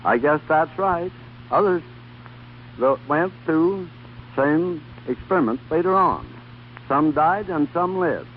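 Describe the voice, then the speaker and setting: A man with a fairly high, lively-pitched voice speaks with sincere solemnity as a guest on a 1930s radio program. The recording is narrow and phone-like, with a faint hum behind it. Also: takes it slowly. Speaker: interview guest, former volunteer. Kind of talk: earnest recounting on 1930s radio.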